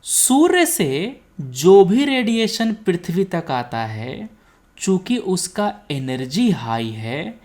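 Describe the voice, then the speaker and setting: An Indian-accented woman teaches in a low voice with very lively intonation. She explains step by step at a slow pace, repeating key points and pausing to ask if it is clear. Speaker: teacher, exam coaching instructor. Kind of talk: explanatory lecture with check-in questions.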